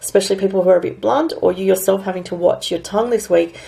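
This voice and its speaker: Raspy, gravelly Australian woman. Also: medium pitch, fast talker, warm tone. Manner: rambling, filler-heavy, thinking aloud. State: raspy from recent illness. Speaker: astrologer and tarot reader. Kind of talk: casual weekly astrology and tarot forecast.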